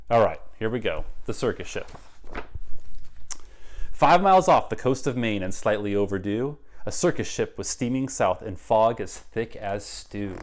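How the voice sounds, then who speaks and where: A middle-aged man reading a picture book aloud to children in an expressive, dramatic way. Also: fairly low pitch. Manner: animated, dramatic. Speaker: read-aloud guest reader. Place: child's bedroom.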